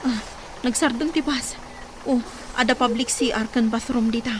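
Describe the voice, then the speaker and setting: A woman's voice: on the high side, fairly even intonation, normal speed, close to an everyday voice. A woman playing a fussy, practical bus traveller in a radio comedy drama, with an Ilocano accent. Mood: uneasy, complaining. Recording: clear vehicle-like ambient noise.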